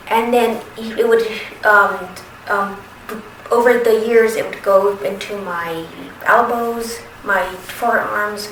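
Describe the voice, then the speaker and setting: A woman in her thirties who stutters, speaking slowly with pauses and restarts in a personal testimony. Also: mid-range voice. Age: 30s. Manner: stutters, with repeated sounds and restarts; slow, careful, with pauses. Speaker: documentary submitter. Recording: roomy, distant from the mic, noisy background.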